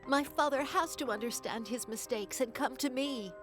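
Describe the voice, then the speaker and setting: A ghostly young girl in a video game. Her voice is high and solemn, with measured, sorrowful gravity, over faint music. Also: a bit bright. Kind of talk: video game cutscene acting.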